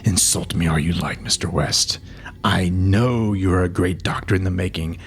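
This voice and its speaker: A man's voice: low-pitched, normal speed, soft background music. A man playing a stern, disapproving aging university dean in an audio drama, formal and admonishing.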